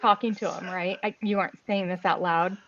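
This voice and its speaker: A woman playing an online tabletop roleplaying game, chatting in an expressive, playful way. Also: on the low side, brisk, background noise. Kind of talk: casual in-game chat. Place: online voice call. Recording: dull lo-fi online call audio.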